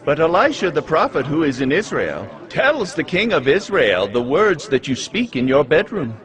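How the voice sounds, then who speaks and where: A deferential servant addresses his king in a Bible audio drama. His voice is fairly high and swings dramatically, with a slight crowd murmur behind. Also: very animated, moderate pace, a bit bright. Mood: earnest. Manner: deferential, declamatory.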